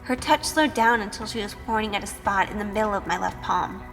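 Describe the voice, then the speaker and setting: A woman's voice: medium pitch, fairly quick, a bit bright, long reverb tail, lightly acted. A woman playing a timid, sheltered young woman in an audio drama, hesitant and anxious. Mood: uneasy, reflective. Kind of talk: audio drama acting and first-person narration. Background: music.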